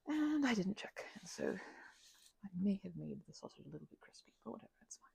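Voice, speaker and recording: Hushed, very breathy woman mumbling casual ASMR. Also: big pitch swings, fast, dry recording. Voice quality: hushed, breathy, often slipping into whisper. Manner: mumbling, low-key, musing to herself. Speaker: ASMR channel host. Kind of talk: rambling casual ASMR chat. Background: keyboard clicking.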